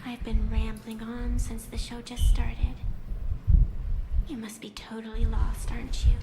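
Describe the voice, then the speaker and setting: A woman's voice: fairly high pitch, monotone, unhurried, heavy background noise. A woman playing a cryptic, playful figure in a game, delivering a theatrical, teasing monologue. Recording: echoey, loud music behind.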